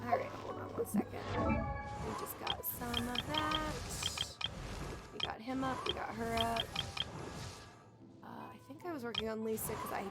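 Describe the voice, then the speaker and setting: A woman's voice: on the high side, measured pace, pauses often. A woman doing casual live commentary on a game, playfully talking to herself and to the characters. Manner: playful, talks to characters and to self. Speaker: game livestreamer. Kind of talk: casual live playthrough commentary, chatting back at the game's characters. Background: game music.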